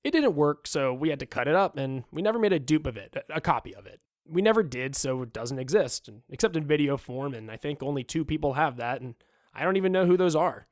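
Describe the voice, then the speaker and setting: A man's voice: fairly high pitch, lively intonation, fairly quick, dry recording, clean studio sound, lightly acted. A man playing a candid film director in re-enacted interview quotes, conversational and emphatic. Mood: earnest, explaining. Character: candid film director recalling his work, resigned and frank.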